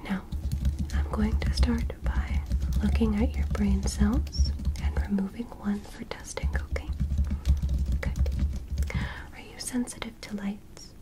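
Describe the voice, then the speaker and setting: Woman acting a whispering, slow alien medical examiner. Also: medium pitch, steady tone, close to an everyday voice. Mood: soothing, clinical. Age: young adult. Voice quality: soft, breathy whisper. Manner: slow, deliberate, short measured phrases, reassuring. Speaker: ASMR roleplay creator. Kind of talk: whispered ASMR medical roleplay. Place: small bedroom dressed as spaceship, indoors. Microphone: close microphone in front.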